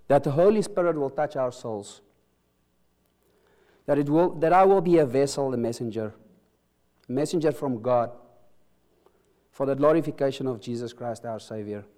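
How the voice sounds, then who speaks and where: A man's voice: medium pitch, mellow. Earnest man with Afrikaans accent preaching. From South Africa. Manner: earnest, halting with restarts and stresses. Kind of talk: sermon with opening prayer.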